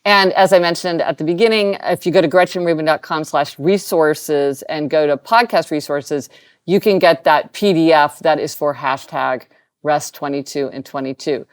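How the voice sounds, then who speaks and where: Upbeat woman podcast co-host, lowish voice. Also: fairly even intonation, moderate pace. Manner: upbeat, exclaiming, reads out links and resources. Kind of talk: casual podcast chat.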